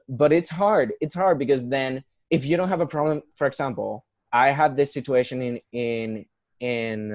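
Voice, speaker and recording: Young man with a Puerto Rican accent, mid-pitched voice, dry close sound, earnest and chatty with lots of restarts. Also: a little mellow, no echo. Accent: Puerto Rican Spanish. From Puerto Rico. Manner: earnest, many fillers and restarts. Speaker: guest, musical theater performer. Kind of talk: casual conversational interview.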